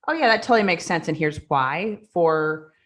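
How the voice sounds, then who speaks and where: Woman cohost laying out examples, lowish voice, keeps things moving. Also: expressive, normal speed. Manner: explains with examples, steers the topic along. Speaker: podcast co-host. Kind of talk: casual conversation.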